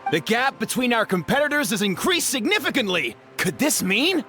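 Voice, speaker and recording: A voice actor playing an excited tournament announcer in a video game, calling the race in hyped sports-commentary style over game music. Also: medium pitch, moderate pace. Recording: game audio with music underneath.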